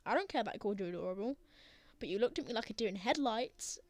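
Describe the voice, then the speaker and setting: A woman playing a prickly, flustered, lovestruck young man in an intimate ASMR roleplay, with halting, sardonic delivery. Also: medium pitch, animated, darkish tone, no echo, close to an everyday voice. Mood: flustered, defensive.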